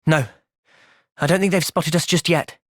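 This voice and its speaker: A man with an English accent voicing an earnest, thoughtful young soldier hero in a fantasy video game, speaking in a measured, reflective way. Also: fairly high pitch, steady tone, no room sound, clean studio sound, natural voice. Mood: calm, thoughtful. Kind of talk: video game cutscene acting.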